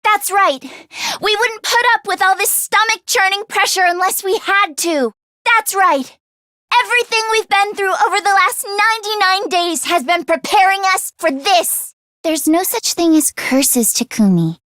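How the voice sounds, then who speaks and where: Very high-pitched, earnest anime-game girl. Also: moderate pace, dry recording, clean studio sound. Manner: expressive, earnest, emotionally heightened delivery. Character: earnest, anxious, emotional teenage girl student defender. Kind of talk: English video game voice acting.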